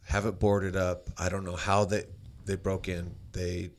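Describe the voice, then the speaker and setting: A man with a very low, deep voice talks loudly and casually. He rambles through stories, restarting his sentences and leaning on fillers as he goes. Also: level tone, unhurried. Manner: loud voice. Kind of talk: casual conversation, storytelling.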